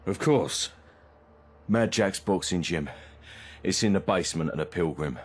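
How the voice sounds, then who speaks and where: An actor playing a battered but stubborn boxer in a video game, in pain and short of breath, speaking ruefully. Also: male voice, low voice, animated, measured pace, breathy edge, exaggerated voice. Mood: stubborn, weary.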